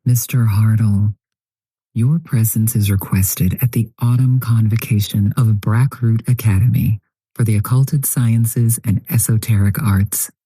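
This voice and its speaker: A man plays a formal, mysterious letter writer from an occult academy in an audio story. The voice is low, very dark and husky, solemn in delivery. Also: fairly even intonation, a little slow, no room sound.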